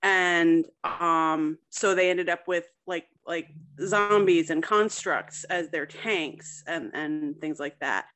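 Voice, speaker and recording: A woman's voice: lowish voice, takes it slowly, a bit bright. Hesitant woman explaining in an interview. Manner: hesitant, piecing thoughts together. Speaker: guest author discussing own story. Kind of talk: casual interview, explaining a fictional world.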